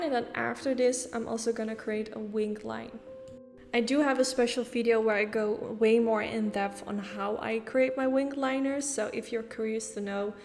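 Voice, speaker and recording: A woman's voice: fairly high pitch, darkish tone, long reverb tail. A woman from the Netherlands with a Dutch accent, talking casually through a makeup tutorial step by step. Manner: chatty, explains step by step with casual fillers. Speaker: beauty YouTuber.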